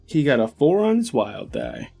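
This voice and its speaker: A man's voice: medium pitch, wildly swooping pitch, moderate pace, vocal fry, dark tone, faint music behind. A man chatting casually as a player in a tabletop roleplaying game.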